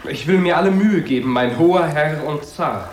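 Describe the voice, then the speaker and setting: Earnest young man, an actor's role, slightly high voice, confident, clever hero, children's radio drama, faint noise. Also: expressive, moderate pace, natural voice. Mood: calm confidence. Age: young adult. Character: earnest, self-assured young man, clever riddle-solver.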